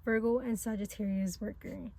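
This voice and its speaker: A woman who is not a native English speaker gives a casual tarot reading in a gentle, reassuring and hesitant way, with many fillers. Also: mid-range voice, steady tone, brisk. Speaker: tarot reader.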